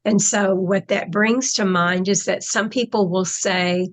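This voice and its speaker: A woman's voice: mid-range voice, steady tone, unhurried. A woman in casual conversation, explaining her spiritual channeling in a reflective way, with trailing phrases.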